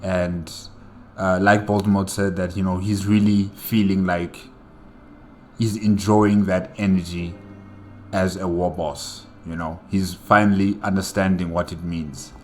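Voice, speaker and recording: A man with a lowish voice talks at a slow pace, reacting casually as a solo host. He repeats words while gathering his thoughts. There is some noise behind him. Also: flat delivery.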